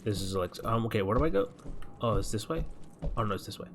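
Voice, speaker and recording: A man's voice: fairly low pitch, expressive, fast talker, background noise. A man streaming a game, chatting casually and joking as he reads game text aloud. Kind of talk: casual gameplay chat. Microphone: desk mic.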